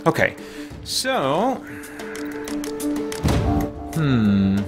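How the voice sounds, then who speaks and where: A middle-aged man hosts a casual gaming livestream from a small room at home, with a mid-pitched voice. He muses aloud as he plays, drops mild folksy exclamations and reads out chat messages. Game music plays clearly behind him. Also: huge pitch swings, drawn-out words. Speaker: livestream host playing a video game. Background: video game music.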